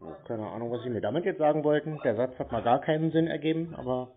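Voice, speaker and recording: A man's voice: mid-range voice, fast talker, slight background noise. A man in a casual phone conversation, talking in a blunt, skeptical way. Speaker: scam-baiting call recipient. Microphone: phone call. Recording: tinny phone-like sound.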